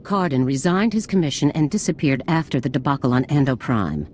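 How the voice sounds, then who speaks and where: Stern army general, very deep voice, clipped commands, faint hum behind. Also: female voice, lively intonation, darkish tone. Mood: commanding. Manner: brisk, authoritative, scornful of bureaucrats. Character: stern female military general, commanding and impatient.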